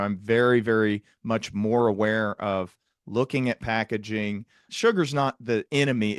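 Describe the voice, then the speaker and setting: A man's voice: on the low side, no echo. Earnest man hosting, dry close sound. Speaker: podcast host and psychiatric clinician interviewing a guest. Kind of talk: conversational interview with long reflective remarks.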